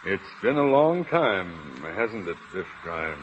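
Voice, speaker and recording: Slow, woozy, gloating rival. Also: male voice, lowish voice, very animated, highs cut off. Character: groggy, gloating man drifting under anesthetic gas. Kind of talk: 1930s radio acting. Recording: noisy old radio recording.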